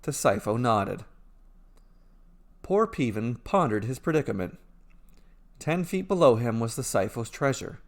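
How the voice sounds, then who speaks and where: Male storyteller, mid-range pitch, measured and deliberate, scripted fairy tale narration. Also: sing-song. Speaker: storyteller narrating his own fairy tales.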